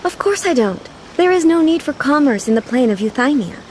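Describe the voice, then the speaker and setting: An actress playing a calm, dignified ruler who speaks formally and with composed authority in an anime game. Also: female voice, high-pitched, fairly quick, a little hiss or hum. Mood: calm, aloof. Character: dignified female ruler, ageless, calm, regal, aloof yet curious. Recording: loud background music.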